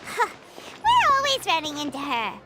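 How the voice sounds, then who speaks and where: Casting: tiny cheeky fairy sidekick, squeaky child-high voice, bouncy intonation, game style, loud music behind. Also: female voice, huge pitch swings, moderate pace, heavily acted, low-quality recording. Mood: curious. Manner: squeaky, perky, refers to self in third person. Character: tiny, childlike floating fairy companion, curious, excitable and cheeky. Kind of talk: video game voice acting.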